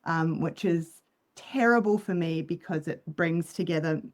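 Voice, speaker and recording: Woman, New Zealand accent with Australian overlay, lowish voice, thoughtful, halting ums, video-call podcast guest. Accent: New Zealand English with Australian overlay. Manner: thoughtful, many ums and restarts. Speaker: clinical psychologist guest. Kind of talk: casual podcast conversation.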